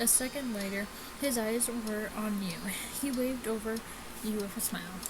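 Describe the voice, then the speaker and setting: A woman hosting a casual let's play, reading game text aloud in a halting way with small stumbles. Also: a little high. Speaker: gaming video host. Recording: clearly noisy home recording.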